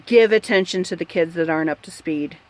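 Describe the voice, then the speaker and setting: A woman's voice: lowish voice, fairly quick. A woman host giving opinion commentary in a blunt, exasperated and emphatic manner. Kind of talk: opinion commentary on a news article.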